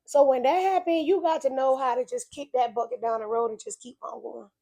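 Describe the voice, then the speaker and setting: A woman's voice: high-pitched, fairly even intonation, fairly quick, warm tone. A woman, sharing an earnest, preachy faith message in casual talk. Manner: earnest, preachy, conversational with emphatic repetition. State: slightly raspy voice from illness. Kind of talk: casual spoken reflection.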